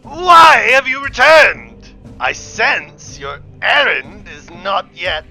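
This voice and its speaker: A tyrannical dark dragon lord roars threats in a gravelly, sharp voice, played by a man in a fan reading. He drags every word out slowly, swinging wildly up and down in a high, piercing register. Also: big pitch swings, faint music behind, exaggerated voice, rough recording. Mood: menacing. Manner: booming, theatrical menace.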